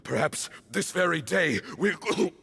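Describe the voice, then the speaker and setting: A man's voice: fairly high pitch, animated, slow, bright, forceful tone, heavily acted. A man voicing an ailing, zealous old temple leader in a video game, grave and theatrical, with music behind. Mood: fervent. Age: older. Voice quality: husky, frail.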